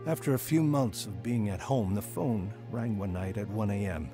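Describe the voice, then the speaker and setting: A man's voice: fairly low pitch, normal speed, over music, natural voice. An actor playing a proud, remorseful husband and father who tells his own story in an audio drama. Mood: reflective narration. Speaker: lead actor and first-person narrator. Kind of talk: dramatised testimony radio drama.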